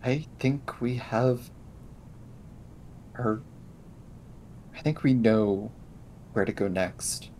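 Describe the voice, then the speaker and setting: A man playing a tabletop role-playing game, thinking things through aloud in casual, halting phrases. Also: mid-range voice, a little mellow, slight background noise, poor audio. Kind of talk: casual in-character game discussion.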